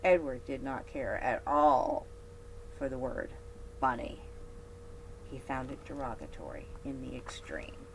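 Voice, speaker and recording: A woman narrating a children's storybook as a library read-aloud host, with expressive, emphatic phrasing. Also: extremely low pitch, measured pace, low-quality recording. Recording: steady background hum and noise.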